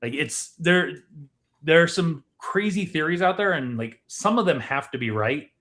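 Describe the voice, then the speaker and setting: A man's voice: fairly high pitch, lively intonation, normal speed, a bit bright. A man co-hosting a movie discussion show, talking casually and explaining his points to his co-host. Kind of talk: casual film theory discussion.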